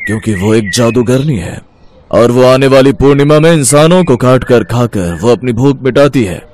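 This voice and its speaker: A man's voice: mid-range voice, animated, darkish tone, a little hiss or hum, lightly acted. A man voicing a solemn, authoritative forest holy man in a storybook tale. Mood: grave. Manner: measured, authoritative.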